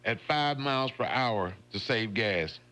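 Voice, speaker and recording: Chatty radio host, bright voice. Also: male voice, mid-range voice, lively intonation, measured pace. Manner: folksy, chatty, laughs often. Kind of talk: casual radio chat. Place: radio studio. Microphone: studio microphone.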